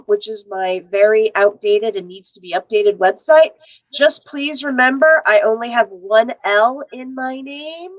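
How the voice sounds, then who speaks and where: A woman's voice: high-pitched, a bit bright, no echo, rough recording. A woman introducing herself casually as a panelist.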